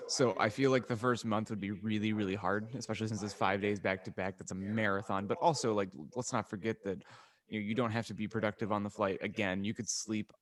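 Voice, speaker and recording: A man's voice: on the low side, fairly even intonation, a little fast. A man co-hosting a casual podcast, talking in a rambling, conversational way. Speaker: podcast co-host.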